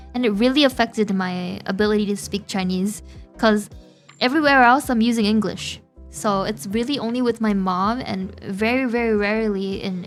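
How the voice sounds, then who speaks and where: A young woman streaming a game, chatting to viewers in an excitable, giggly, talkative way. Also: medium pitch. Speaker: VTuber streamer. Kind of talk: casual game-stream commentary.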